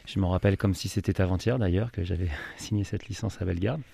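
A man in his thirties with a deep, gravelly voice talks through his football career on a radio show. He pauses and restarts often, in a relaxed, unhurried way. The studio sound is dry and close. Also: no echo. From Paris region, France. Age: 30s. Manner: relaxed, many hesitations and restarts. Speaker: football coach and club manager. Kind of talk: radio interview answers.

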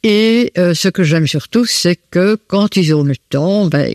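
A woman's voice: deep voice, a little mellow, no room sound, studio quality. A woman answers interview questions at home in her living room, hesitant and full of fillers. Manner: slow and hesitant, many fillers. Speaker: host of students at home.

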